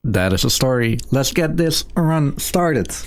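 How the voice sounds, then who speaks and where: A man with a medium-pitched voice gives live speedrun commentary. He talks casually and informally to viewers. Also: expressive, unhurried.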